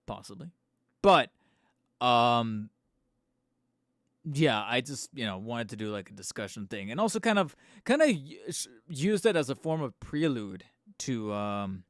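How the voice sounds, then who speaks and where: A man hosting a live stream from home on a studio microphone, a little hoarse and tired, rambling casually with fillers and restarts and poking fun at himself. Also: medium pitch, sing-song, a little slow, no room sound. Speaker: channel host streaming live. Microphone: studio microphone close up.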